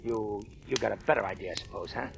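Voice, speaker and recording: An actor playing a tough, suspicious escaped convict in an old radio crime drama, with blunt gangster slang. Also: male voice, on the low side, fast talker, gravelly, faint music behind.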